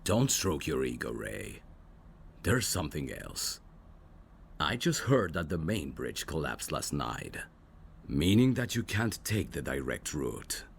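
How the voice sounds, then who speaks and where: An actor playing a composed, dry, protective male vampire with a very deep, gravelly voice in an audio roleplay. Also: sing-song, slow pace, a little hiss or hum, heavily acted. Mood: dry, serious.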